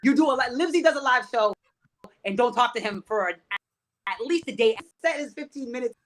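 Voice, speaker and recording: A man's voice: very high-pitched, expressive, a little fast, fairly bright tone. A man, a rapper guest on a podcast, talking casually in loose street slang.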